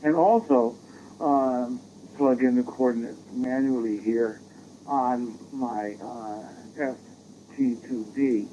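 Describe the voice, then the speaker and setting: An American man chatting casually as an amateur radio operator, rambling and halting, heard through a digital radio transmission. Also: mid-range voice, steady tone, mellow. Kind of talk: casual radio contact chat. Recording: dull, noisy digital radio audio.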